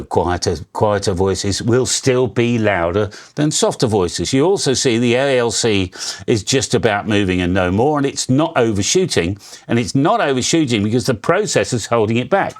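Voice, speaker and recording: A man giving a casual hands-on radio tutorial, a loud, energetic talker who explains in a chatty way with frequent restarts. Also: mid-range voice. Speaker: radio channel host.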